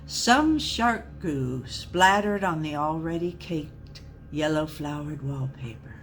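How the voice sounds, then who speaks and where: A woman storyteller with a slightly low voice takes her time over a comic tale. Her delivery is deliberate and mock-serious, pausing for drama, with music playing faintly behind her. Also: animated.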